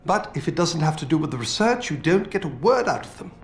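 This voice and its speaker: An actor playing an older British scientist in a video game, feisty and fatherly, with colourful, expressive delivery. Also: on the high side, fast, a little hiss or hum. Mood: wry, weary. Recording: roomy game audio with music.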